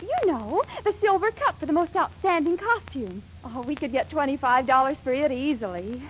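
An actress playing a plucky, scheming young sweetheart with an American accent, girlish and brisk, in 1940s radio sitcom style. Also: sits high, animated, low-quality recording. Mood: worried, exasperated. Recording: hissy 1940s radio recording.

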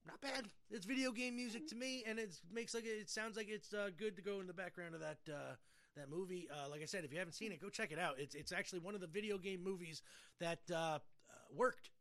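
A woman's voice: on the low side, fast talker, fairly bright tone. A woman hosting a casual podcast chat, talking informally and emphatically with plenty of swearing. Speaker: podcast host.

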